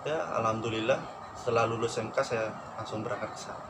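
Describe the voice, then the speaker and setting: A man's voice: on the low side, level tone, fast talker. Young man with a Madurese-tinged accent. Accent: Madurese-tinged Indonesian. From Probolinggo, East Java. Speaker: radio announcer and aspiring actor. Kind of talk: casual storytelling chat. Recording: noisy background.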